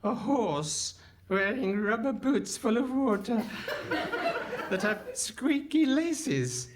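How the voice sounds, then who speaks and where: A woman's voice: on the high side, very animated, slow, a loud crowd. A woman playing a befuddled, doddering old husband in a comic live radio play, fussy and literal-minded. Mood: fussy, puzzled. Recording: noisy live hall stage recording.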